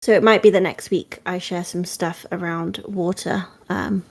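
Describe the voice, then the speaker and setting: A woman's voice: on the low side, fairly even intonation, moderate pace, darkish tone. A woman with a British accent, chatting casually on a livestream about game updates in a friendly, explanatory way.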